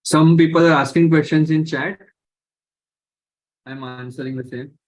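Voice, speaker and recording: A man's voice: fairly high pitch, fairly even intonation, unhurried, rough recording. Indian man moderating an online webinar.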